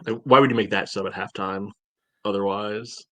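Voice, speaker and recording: A man co-hosting a sports podcast, giving casual analysis in a halting, thinking-aloud way peppered with "you know". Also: lowish voice, fairly even intonation, pushed and bright, dry recording.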